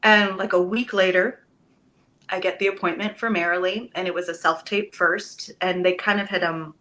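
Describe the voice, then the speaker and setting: A woman, a Broadway actress, chatting as a podcast guest, telling stories with lively enthusiasm and the odd casual swear word. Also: on the low side, normal speed. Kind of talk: casual podcast interview chat.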